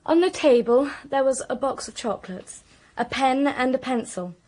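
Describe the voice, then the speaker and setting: An actress playing an anxious, articulate young British woman in a radio drama, with a precise, expressive delivery. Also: high-pitched, moderate pace. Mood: tense recounting. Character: anxious, articulate young niece recounting a crime.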